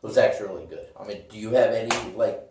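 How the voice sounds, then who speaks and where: A man's voice: fairly low pitch, rapid-fire. A middle-aged man co-hosting a podcast, chatting casually and without a script about things he knows. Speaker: podcast co-host. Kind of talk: casual, unscripted gaming chat. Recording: echoey room, distant mic, noisy with clicks.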